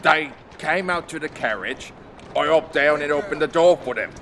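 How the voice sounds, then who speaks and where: A man's voice: a little high, moderate pace, bright, forceful tone, slight background noise. A man playing a deferential coachman in a period mystery audio play, telling things plainly and matter-of-factly. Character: plain-spoken male coachman servant, deferential. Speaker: actor in audio drama.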